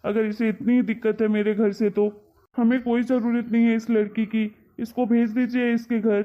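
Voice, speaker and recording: A woman's voice: a little high, flat delivery, normal speed, close to an everyday voice. Woman voicing an irritated young husband, muffled sound. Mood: angry. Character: irritated, impatient young married son fed up with his wife. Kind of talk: Hindi cartoon family drama dialogue. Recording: dull lo-fi sound.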